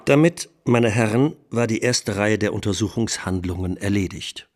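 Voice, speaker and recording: A man playing a defence lawyer in a 1920s trial, delivering a rhetorical, deliberate and emphatic courtroom plea for a radio documentary. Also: fairly low pitch, close to an everyday voice. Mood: dry, sardonic. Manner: rhetorical, deliberate, emphatic, with dropped phrase endings. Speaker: defence counsel.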